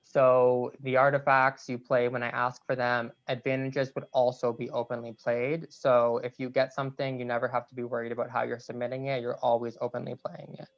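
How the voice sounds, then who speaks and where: A man hosting an online game over a video call, explaining the rules in a relaxed way with frequent "um"s. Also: medium pitch, fairly quick, hardly any pauses. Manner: relaxed, many "um"s. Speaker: game host. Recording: slightly dull video-call audio.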